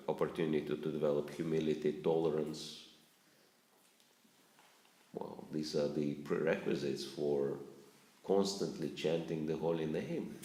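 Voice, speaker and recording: Deep, slow-speaking spiritual teacher. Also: male voice, a little mellow. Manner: asks the audience questions, chuckles often. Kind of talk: talk to a live audience. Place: lecture room with audience. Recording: roomy echo.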